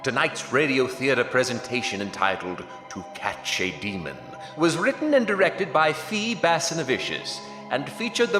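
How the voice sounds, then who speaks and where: An actor playing a formal, ominous announcer reading scripted credits in old-time horror radio style. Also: male voice, mid-range voice. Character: grandly spooky radio announcer. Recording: echoey hall reverb, music behind.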